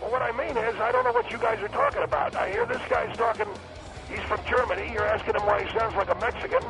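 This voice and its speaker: A man's voice: very high-pitched, sing-song, fast, vocal fry, over music. A man calling in from an airport, confused and hesitant, with many fillers. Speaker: caller. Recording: caller at a noisy airport.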